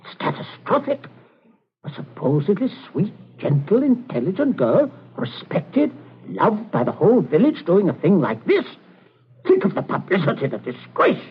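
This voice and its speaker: A man's voice: high voice, huge pitch swings, moderate pace, slightly rough, exaggerated voice. An actor playing a stern, pompous middle-aged father who snaps orders in a 1940s radio thriller. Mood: agitated, indignant. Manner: pompous, scolding, clipped orders. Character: stern middle-class father, pompous, snappish, obsessed with respectability. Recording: tinny old 1940s radio broadcast.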